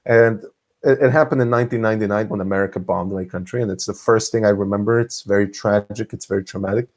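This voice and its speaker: A young man from Serbia with a Serbian accent, telling stories in a casual solo vlog, restarting often and swinging his pitch up and down. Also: fast talker. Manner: animated, frequent restarts and laughs, pitch swinging widely. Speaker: vlogger host. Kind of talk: casual monologue storytelling.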